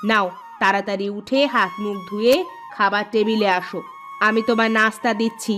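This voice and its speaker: A woman playing a sharp-tongued, long-suffering village housewife in a cartoon, scolding and sarcastic. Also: mid-range voice, little pitch movement, fairly quick, soft background music, lightly acted. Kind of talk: cartoon dialogue acting.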